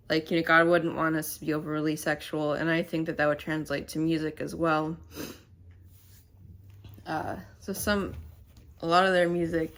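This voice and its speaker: Low-voiced, stuffy-nosed woman vlogging. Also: fairly bright tone. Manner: rambling and halting, many fillers. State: stuffy, nasal. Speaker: channel host. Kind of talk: casual to-camera vlog chat. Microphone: camera mic.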